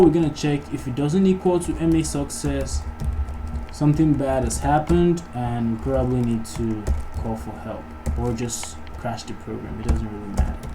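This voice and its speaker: A man narrating an informal coding tutorial, casually thinking aloud as he works through each step. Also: mid-range voice. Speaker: programming tutorial host. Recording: clearly noisy recording, keyboard typing. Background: background noise, computer keyboard typing.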